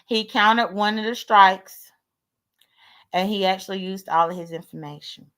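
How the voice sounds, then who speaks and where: A woman's voice: mid-range voice, fairly bright tone. A woman in her late thirties with an American accent, chatting casually on a livestream in a blunt, sassy way and swearing freely. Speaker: livestream host. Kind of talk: casual livestream chat, airing grievances.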